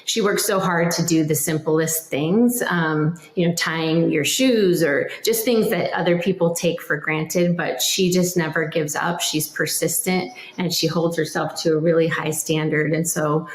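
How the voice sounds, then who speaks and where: A woman's voice: low-pitched, steady tone, unhurried, hardly any pauses, roomy sound, low-quality recording. A woman answering interview questions conversationally as a parent, in an earnest, reflective manner.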